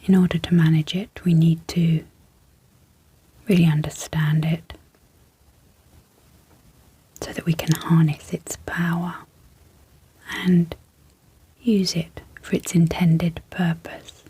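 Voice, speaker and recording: A woman speaking in a breathy, whispery hush, gentle and measured with long pauses, guiding an ASMR sleep hypnosis as host. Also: lowish voice, flat delivery. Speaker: ASMR host and clinical psychologist.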